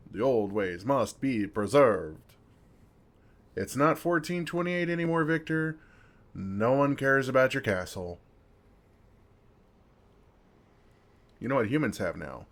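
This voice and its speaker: Man acting as a slow, low-voiced, wry vampire. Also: vocal fry, heavily acted. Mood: mocking, exasperated. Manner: gentle, casual, self-deprecating, dryly sarcastic. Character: kind, wry older male vampire comforting a fledgling. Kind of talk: ASMR roleplay acting, intimate and conversational.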